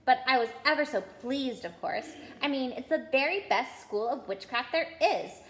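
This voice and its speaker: A woman reading aloud, voicing a bossy, fast-talking schoolgirl witch. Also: high voice, very animated, fairly bright tone, echoey room, voice pushed up. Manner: rapid-fire, bossy.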